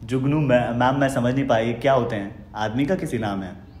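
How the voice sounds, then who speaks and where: A man's voice: mid-range voice, normal speed, darkish tone, slight background noise. A man in his twenties, politely and a little hesitantly hosting a mock-formal phone quiz as a prank caller. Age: 20s. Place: small home room, indoors. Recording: roomy indoor echo.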